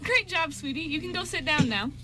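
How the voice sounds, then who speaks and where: A woman's voice: sits high, expressive, a little fast, bright, forceful tone, a little hiss or hum. A woman playing a sweet, caring, perky bride in an amateur radio drama. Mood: bright, encouraging.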